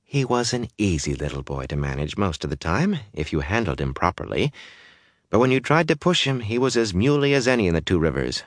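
A man's voice: on the low side, very animated, a little fast, no echo, voice pushed up. A motherly innkeeper woman from a fantasy tale, put on by a male narrator, with a lifted, swooping voice. She coaxes and soothes, yet stays firm, in a dry recording. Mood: coaxing.

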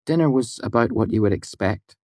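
A man voicing a playful, sharp-tongued young woman in an audiobook, with a huffy, theatrical, teasing manner. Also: medium pitch, expressive, brisk, no echo, studio quality, voice pushed up, lightly acted.

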